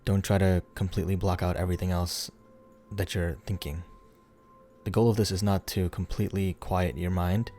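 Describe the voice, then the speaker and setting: A man leading a guided meditation in a soothing, unhurried, instructional way, leaving pauses between phrases. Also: deep voice, level tone, dark tone. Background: background music.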